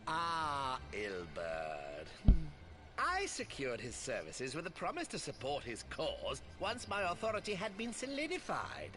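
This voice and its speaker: Pompous, swooping scheming merchant lord. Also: male voice, fairly high pitch, wildly swooping pitch. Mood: smug, sly. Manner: pompous, archly drawn-out, mock-courteous. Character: scheming, smug wealthy merchant lord, theatrical and mocking. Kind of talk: video game cutscene acting. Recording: game audio with music.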